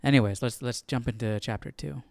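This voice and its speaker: A man's voice: medium pitch, brisk, gravelly, a little mellow, dry recording. A man hosting a casual live Bible study, musing aloud and often checking with a questioning tag. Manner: musing, tags sentences with "right?". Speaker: host.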